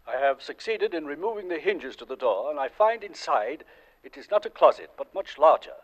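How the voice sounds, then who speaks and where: An actor playing an earnest, methodical ghost-hunting doctor, giving formal, precise reports in 1940s radio suspense style. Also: male voice, on the high side, very animated, fairly quick. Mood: grave, intent. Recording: dull, lo-fi vintage radio recording.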